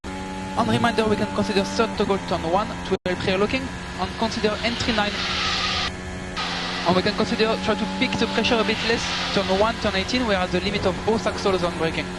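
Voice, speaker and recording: A man's voice: high voice, steady tone, fairly quick. A man working as a race engineer, giving clipped, procedural instructions and updates over team radio. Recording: very noisy car team radio transmission. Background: loud car noise.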